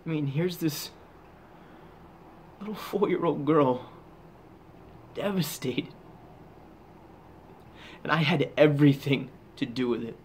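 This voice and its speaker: A man performing a dramatic monologue as a haunted, guilt-ridden soldier back from war, halting and intense, with heavy pauses. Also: fairly high pitch, slight background noise. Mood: grieving, guilty.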